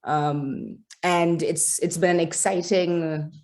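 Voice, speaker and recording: A woman's voice: on the low side, steady tone, takes it slowly, a little mellow. A woman from Trinidad and Tobago with a Trinidadian accent, introducing herself on a panel in a chatty, self-assured way over a video call.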